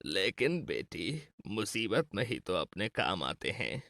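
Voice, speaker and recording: An actor playing a caring, gentle old grandfather in a cartoon moral story. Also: on the low side, big pitch swings, slow, vocal fry, no room sound, studio quality, full character voice. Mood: concerned. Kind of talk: acted Hindi moral-story cartoon dialogue.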